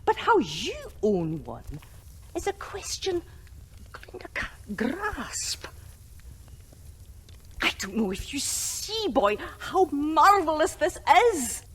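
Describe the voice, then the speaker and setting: An actress playing a cantankerous, ancient granny master forger in a video game, scolding and theatrical. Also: female voice, sits high, big pitch swings, fairly bright tone, a little hiss or hum, exaggerated voice. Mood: gruff wonder. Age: older. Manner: scolding, dramatic, slow emphatic delivery. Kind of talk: video game cutscene acting.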